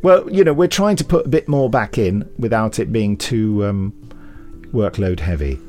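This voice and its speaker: A man's voice: fairly low pitch, animated, moderate pace, darkish tone. British man presenting with wry asides, music behind. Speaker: podcast co-host. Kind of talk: chatty podcast presenting.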